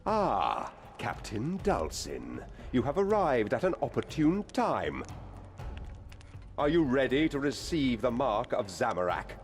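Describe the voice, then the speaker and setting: Slow, swooping dark priest. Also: male voice, medium pitch, huge pitch swings, faint music behind, full character voice. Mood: ceremonial. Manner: ceremonial, commanding. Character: imperious male dark priest of an evil god, suspicious. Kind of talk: video game voice acting.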